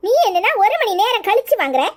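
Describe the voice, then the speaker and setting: Very high-pitched complaining older village mother played by a woman. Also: sing-song, fast. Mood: indignant. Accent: southern Tamil Nadu. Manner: complaining, insistent. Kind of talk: melodramatic village serial acting.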